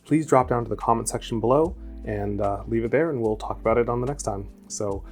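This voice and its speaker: A man's voice: medium pitch, fairly quick, darkish tone, faint music behind. A man, an author hosting his own channel, talking earnestly and reflectively in a solo vlog. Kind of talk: solo vlog monologue to camera.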